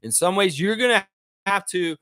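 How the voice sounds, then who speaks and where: A man's voice: high-pitched, expressive, moderate pace, bright, forceful tone, no room sound, studio quality. A man hosting a live sports fan chat, talking informally and conversationally as he thinks aloud to his viewers.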